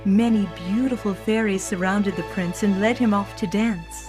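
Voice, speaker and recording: A woman narrating a scripted fairy tale for children in an expressive storybook delivery. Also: mid-range voice, moderate pace, over music.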